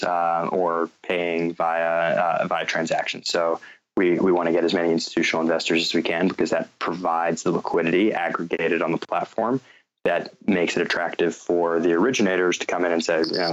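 A low-voiced American man speaks as an interview guest. His delivery stays very even and measured, with hesitant restarts as he explains things. The sound is dull and a bit lo-fi, like a call. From North Carolina, United States. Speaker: guest, fintech company founder. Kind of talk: interview answers, explaining business.

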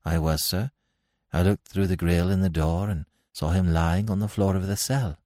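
A man's voice: deep voice, steady tone, moderate pace, warm tone, no room sound, studio quality, lightly acted. A man voicing an uneasy, respectful prison warder in an audiobook, hesitant but dogged. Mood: guarded. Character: uneasy, deferential male prison warder, ex-military.

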